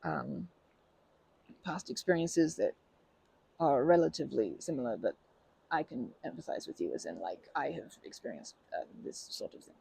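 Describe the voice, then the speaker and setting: A woman playing a timid, kind park regular in an ASMR roleplay, speaking softly in halting, stammering, self-correcting sentences. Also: rumbling low, sing-song, gravelly, slightly rough, heavily acted, poor audio. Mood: gentle, empathetic. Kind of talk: ASMR roleplay, improvised-sounding intimate monologue.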